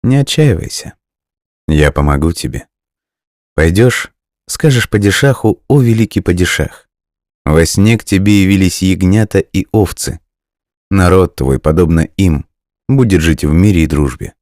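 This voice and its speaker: A man voicing a wise, forgiving female snake in a folk tale, with measured, counselling delivery. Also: low voice, expressive, darkish tone, no room sound, clean studio sound. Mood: reassuring.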